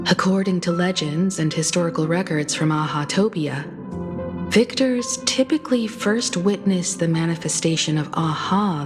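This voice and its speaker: An actress playing a thoughtful adventurer and adviser in a video game, reasoning things out in an articulate way. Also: female voice, on the low side, lightly acted. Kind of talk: scripted game voice acting. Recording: loud music behind.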